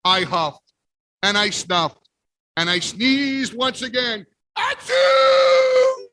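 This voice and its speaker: A man reading a picture book aloud as the wolf, playful with big pauses. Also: very high-pitched, big pitch swings, bright, forceful tone, raised voice, slight background noise. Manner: playful, exaggerated sneezes and storyteller pauses. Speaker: guest reader. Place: library event.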